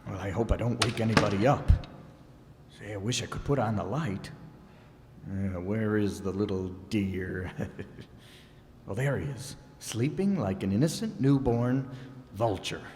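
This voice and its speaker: A man's voice: lowish voice, unhurried, pauses often, darkish tone, close to an everyday voice. A man playing John, a grumbling, put-upon and exhausted husband, in an old-time radio comedy staged live in a reverberant hall. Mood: muttering. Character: John, a grumpy, exhausted middle-aged husband. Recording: live stage recording with long reverb and faint noise.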